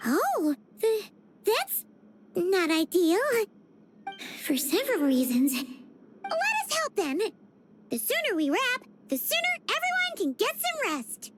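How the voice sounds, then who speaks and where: A woman's voice: extremely high pitch, huge pitch swings, takes it slowly, pauses often, music in the background, full character voice. A woman voicing a small, childlike fairy companion in a video game, bubbly, optimistic and emphatic. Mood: flustered. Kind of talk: English video game dub acting.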